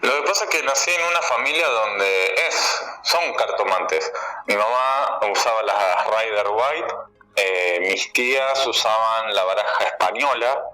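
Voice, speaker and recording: A man's voice: on the low side, a little slow, pushed and bright, low-quality recording. A man with a Buenos Aires Argentine accent, talking casually as a card-reader guest in a radio interview.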